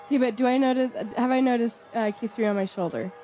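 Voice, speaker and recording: A woman's voice: fairly high pitch, fast, warm tone, soft background music. A woman chatting casually as a guest player in a tabletop game.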